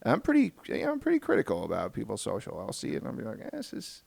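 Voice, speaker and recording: A man's voice: medium pitch, huge pitch swings, rapid-fire, creaky, a little mellow. A man hosting a casual podcast chat, talking informally and swearing casually. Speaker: podcast host and video producer.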